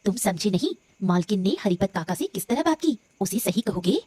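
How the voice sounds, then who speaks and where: A woman's voice: mid-range voice, lively intonation, a little fast, warm tone, slightly rough, no room sound. A woman voicing an outspoken, principled married village woman in a cartoon story, challenging and reproachful. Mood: righteous, reproachful. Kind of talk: Hindi cartoon story dialogue.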